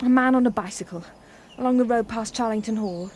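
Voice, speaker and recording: A woman's voice: fairly high pitch, fairly quick, darkish tone, a little hiss or hum, close to an everyday voice. An actress playing a spirited, determined young woman who teaches music, in a radio drama. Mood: indignant.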